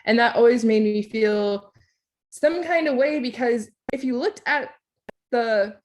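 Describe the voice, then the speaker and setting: A woman's voice: fairly high pitch, measured pace. Candid young woman giving a lecture. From United States. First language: Thai. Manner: casual and candid, many ums and likes, light laughs. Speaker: staff researcher giving a lecture. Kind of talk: personal talk in a lecture series.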